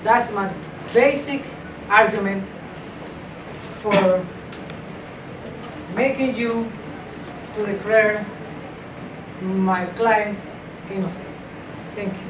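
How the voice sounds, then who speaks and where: A woman speaking as a defense attorney in a mock trial, making a formal statement with halting, stumbling phrases. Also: medium pitch, recorded from a distance. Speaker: law student acting as defense attorney. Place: echoey indoor room, distant microphone, noise behind. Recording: echoey room from afar, noisy.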